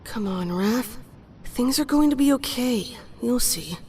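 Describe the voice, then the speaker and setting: A woman's voice: on the high side, animated, measured pace, darkish tone, slight background noise. A woman playing a caring young mutant fox in an audio drama, earnest and firm in her delivery. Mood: soothing.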